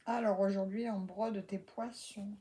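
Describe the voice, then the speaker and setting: A woman's voice: mid-range voice, steady tone, measured pace, mellow. A woman casually explaining an embroidery project to her co-host and viewers while she works. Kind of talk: casual how-to chat.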